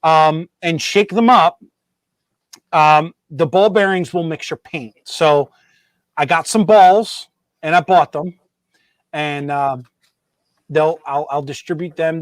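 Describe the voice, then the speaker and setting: A man with a notably high voice hosts a laid-back model railroad stream from his hobby room. He talks in loose, rambling bursts, restarting sentences and cracking jokes along the way. The sound is close and dry, with almost no room echo. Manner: rambling, self-correcting, joking. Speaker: host of a model railroad show. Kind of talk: casual live-stream chat.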